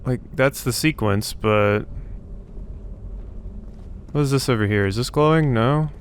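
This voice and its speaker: Guy doing a lets play, slow talking, thinks out loud, kinda self-deprecating, bit of background noise. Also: mid-range voice. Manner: muttering puzzles aloud, self-deprecating. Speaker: let's play host. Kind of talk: casual gameplay commentary.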